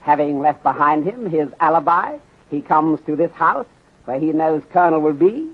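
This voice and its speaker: Chinese detective inspector, shrewd, formal clipped phrasing, deliberate, slightly high husky voice, 1930s radio drama. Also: male voice, lively intonation. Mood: assured, explaining. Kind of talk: 1930s radio serial acting. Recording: muffled, husky-sounding 1930s radio recording.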